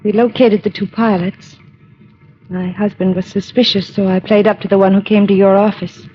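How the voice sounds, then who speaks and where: A female actor as a young femme fatale in an old radio crime drama, guarded and weary, with a melodramatic edge. Her voice sits at a middle pitch. The vintage recording sounds dull and narrow. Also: level tone, moderate pace, close to an everyday voice. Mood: resigned confessing. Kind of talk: 1950s radio drama acting.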